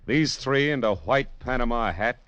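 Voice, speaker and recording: An American actor playing a wry, hard-boiled private detective in a 1940s radio drama, with a sardonic, clipped, wisecracking manner. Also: male voice, medium pitch, lively intonation, normal speed, fairly bright tone, dry recording, dull audio, natural voice. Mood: wry, world-weary. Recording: old radio broadcast, music behind.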